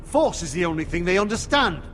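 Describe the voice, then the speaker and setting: A blunt, hot-headed soldier. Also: male voice, high-pitched, very animated, brisk, a bit bright, in a large hall. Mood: forceful. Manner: blunt, combative, scornful. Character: gruff hot-headed male veteran soldier.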